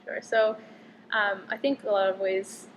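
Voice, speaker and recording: A woman's voice: mid-range voice, little pitch movement, measured pace, low-quality recording. Chatty young woman with background noise. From Connecticut. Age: 20s. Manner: chatty, frequent "um" and "like" fillers. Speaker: interviewee, medical student. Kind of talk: casual interview answers.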